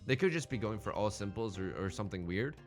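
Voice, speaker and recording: A man's voice: fairly low pitch, animated, fast talker, faint music behind. A man hosting a gaming let's play in casual, bantering commentary, thinking aloud and often repeating his phrases.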